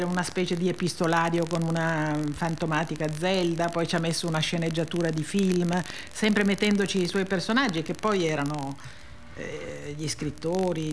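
Female radio guest, informal and word-searching, slightly low voice, clear background noise. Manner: halting, searching for words. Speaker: guest reader and performer. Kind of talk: informal radio interview talk.

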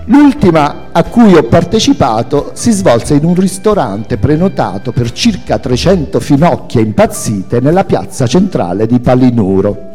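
Expressive man reading aloud in reverberant hall. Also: mid-range voice. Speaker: reader at a spoken-word event with music. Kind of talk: expressive literary reading of a memoir. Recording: long hall reverb, music underneath. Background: music.

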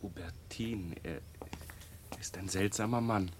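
An actor playing a puzzled young novice monk in a radio drama, a quiet pupil asking questions. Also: male voice, low voice, takes it slowly, a little hiss or hum.

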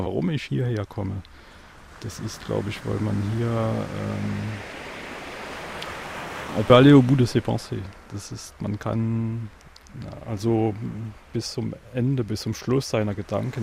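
A man playing a pensive French painter in a radio play, with a French accent, halting as he searches for words. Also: on the low side, steady tone. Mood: pensive, hesitant. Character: pensive, hesitant French painter idling by the sea. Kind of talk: documentary-style interview in a radio play. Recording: outdoors with clear surf noise.

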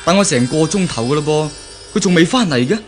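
A man's voice: on the high side, lively intonation, quick pace, over music, close to an everyday voice. A man acting in a 1970s Cantonese radio drama as an earnest, worried young fiancé with a Hong Kong accent. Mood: anxious brooding. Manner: earnest, fretful.

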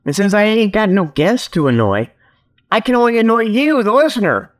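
A man with a somewhat high voice hosts his own comedy show alone. He rambles in a casual, folksy style, slipping into mock sales pitches and punctuating his points with "okay" as he jokes along. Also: big pitch swings, moderate pace. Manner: repeats "okay" as a verbal tag. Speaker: solo host of a comedy internet radio show.

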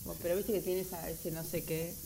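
A woman's voice: fairly low pitch, flat delivery, fast talker, natural voice. A woman playing a chatty, scatterbrained, placating traveler in a radio drama, with an Argentine Rioplatense accent. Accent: Rioplatense Argentine Spanish. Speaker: amateur radio drama performer. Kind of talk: naturalistic radio drama dialogue. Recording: hissy, noisy workshop recording.

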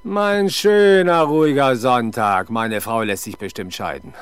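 An actor playing a world-weary but kind-hearted middle-aged police inspector in a radio crime drama, grumbling with dry irony and giving orders. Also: male voice, medium pitch, a little slow, clean tone, lightly acted. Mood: grumpy. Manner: grumbling, dryly ironic, commanding. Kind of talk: 1970s German radio crime drama acting.

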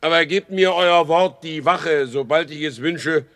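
An actor playing a proud, wary horse dealer in a radio drama, respectful but firm, speaking formally and deliberately. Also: male voice, fairly high pitch, steady tone, a bit bright. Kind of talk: German radio play acting.

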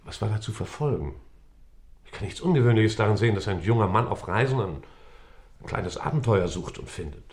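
A man's voice: lowish voice, expressive, a little fast, darkish tone. An actor playing a sober, worldly male judge, dry and precise, in a classic radio play. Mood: skeptical.

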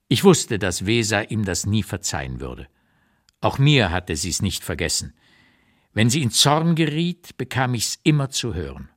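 A man with a low voice reads a literary memoir as a radio narrator. His intonation swings widely and keeps the storytelling animated. The delivery is articulate and expressive.

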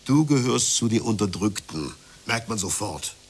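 Bitter low-voiced jealous man. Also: lively intonation, moderate pace, a little hiss or hum. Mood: scornful lecturing. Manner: scornful, ranting, emphatic. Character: bitter, jealous working-class man, bullying ex-lover. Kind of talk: naturalistic radio play dialogue.

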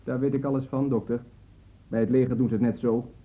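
A man's voice: medium pitch, little pitch movement, quick pace, dark tone, lightly acted. An actor playing a proud, defiant young man under questioning in a radio play, cool, curt and self-assured. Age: young adult. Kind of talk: radio play acting in Dutch. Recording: muffled, phone-like old radio recording.

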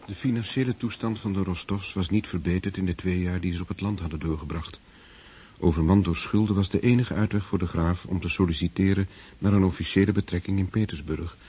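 An actor as a calm, formal storyteller, reading scripted narration in a radio drama. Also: male voice, low-pitched, fairly quick, natural voice. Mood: matter-of-fact. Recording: hissy old radio recording.

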